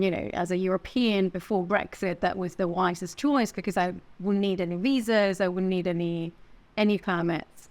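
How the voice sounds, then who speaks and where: An Italian-born actress who speaks English with a British accent, telling stories with small laughs as a podcast guest. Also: female voice, on the low side. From Italy. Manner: animated storytelling with small laughs. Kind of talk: podcast interview.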